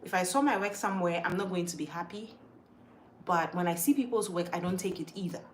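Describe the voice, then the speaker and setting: A woman's voice: on the low side, brisk, a little hiss or hum. Chatty woman with a mixed Ghanaian accent. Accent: Ghanaian, mixed from moving around. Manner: chatty and emphatic, repeats words, thinks aloud. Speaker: livestream host. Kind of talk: casual live chat, reading viewer comments.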